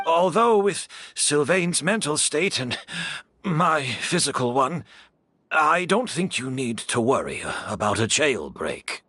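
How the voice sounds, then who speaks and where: An actor playing a frail, calm, resigned gentleman in a video game, speaking in a measured, polite way. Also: a little high, husky, exaggerated voice.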